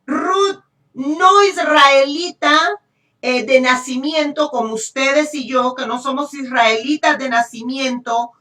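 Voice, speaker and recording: A woman's voice: sits high, bright, forceful tone. A woman with a Honduran Spanish accent preaching a Bible lesson, emphatic, repeating phrases and checking in with rhetorical questions. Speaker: preacher teaching a congregation. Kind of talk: sermon, Bible teaching. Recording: echoey room, distant microphone.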